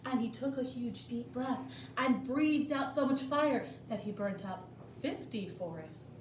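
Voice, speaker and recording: A woman reading a bedtime story to children as an expressive narrator. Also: a little high, measured pace. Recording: roomy, distant, noisy home recording.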